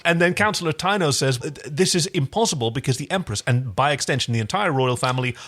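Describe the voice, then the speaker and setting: A man co-hosting a podcast, recapping a TV episode in an expressive, enthusiastic and casual way. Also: mid-range voice, fast. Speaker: podcast co-host.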